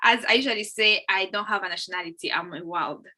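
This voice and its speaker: A woman's voice: mid-range voice, fast talker, pushed and bright. A young woman, hosting a live video-call session in a chatty, welcoming way with lots of fillers. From Uganda. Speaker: host. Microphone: laptop or phone mic on a video call.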